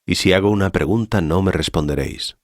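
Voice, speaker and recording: A man playing Jesus, calm, solemn and measured, in a dramatized scripture reading. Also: low voice, dry recording, studio quality.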